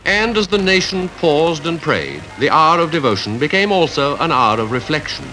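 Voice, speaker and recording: Male newsreel narrator, British RP accent, 1950s style, formal, measured, animated pitch, music underneath, archive recording. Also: rough recording. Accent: British Received Pronunciation. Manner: formal, measured, rhetorical delivery.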